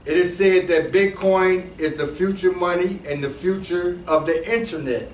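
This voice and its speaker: A man with a slightly higher-than-average voice, explaining things loosely and conversationally to a small group in a room. He restarts his sentences often and mixes in street slang and asides to the audience. He sounds distant from the mic in an echoey space with a faint hum underneath. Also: normal speed. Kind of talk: casual talk to a live group.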